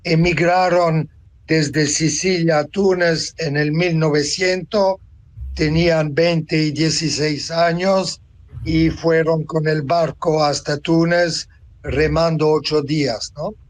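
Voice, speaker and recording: A man in his seventies from Tunisia, giving a reflective personal account as an interview guest, deliberate and pausing to find words. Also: on the high side, steady tone. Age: 70s. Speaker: interview guest, author and translator.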